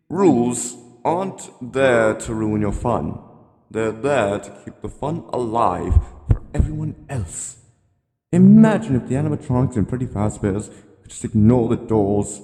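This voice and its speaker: A man voicing a genteel, rambling elderly animatronic hippo from a game, politely ominous and gently admonishing in a slow, courtly monologue. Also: medium pitch. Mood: theatrical, admonishing. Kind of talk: scripted character monologue. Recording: long cavernous reverb.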